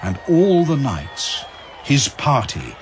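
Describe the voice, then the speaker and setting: A man's voice: medium pitch, wildly swooping pitch, slow pace, crowd noise, background noise. A man narrating epic verse as a scripted drama, in a grand, theatrical storytelling manner. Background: music.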